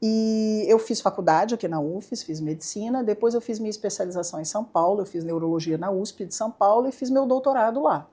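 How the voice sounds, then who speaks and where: A middle-aged woman neurologist from southeastern Brazil, guesting on a podcast with a Brazilian Portuguese accent and a lively, playful way of telling her story. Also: mid-range voice, brisk, few pauses, husky. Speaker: neurologist and university professor, guest. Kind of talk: casual interview chat. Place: podcast studio.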